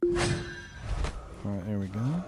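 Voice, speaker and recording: A man's voice: lowish voice, animated, drawn-out words, heavy background noise. A man playing a game casually, thinking aloud between short voice commands.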